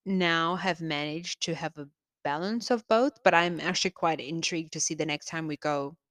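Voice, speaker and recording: A woman's voice: deep voice, moderate pace, fairly bright tone, dry recording, clean studio sound. A young woman who grew up in South Africa and lives in Australia, with an Australian accent that has South African traces, co-hosting a casual podcast and chiming in with emphatic remarks. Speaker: podcast co-host. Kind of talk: casual chat.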